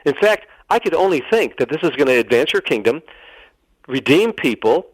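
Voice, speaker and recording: A man's voice: on the high side, very animated, normal speed, breathy edge, dry recording, rough recording. A middle-aged man telling a personal story as a radio interview guest, earnest and dramatic, acting out the dialogue and repeating phrases for emphasis.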